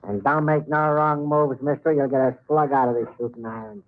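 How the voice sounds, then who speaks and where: A man's voice: on the high side, animated, brisk. A man playing a sneering, taunting outlaw henchman with an American accent in an old-time radio western. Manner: taunting tough talk. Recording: tinny vintage radio recording.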